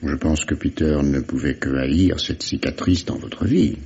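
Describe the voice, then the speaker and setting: A man's voice: a bass voice, measured pace, darkish tone. An actor playing a wry, insistent investigator in a vintage radio mystery, sardonic and falsely fatherly as he questions a suspect. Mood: sardonic, pressing. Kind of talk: 1950s French radio mystery acting. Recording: dull lo-fi old radio recording.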